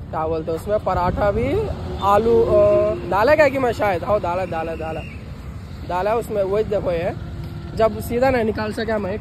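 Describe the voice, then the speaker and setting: A young man, vlogging casually from a phone held at arm's length in an outdoor restaurant at night. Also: high voice. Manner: chatty, loose, talks to viewers while walking. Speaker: food vlogger. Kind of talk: casual vlog walkthrough. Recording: noisy outdoor phone recording.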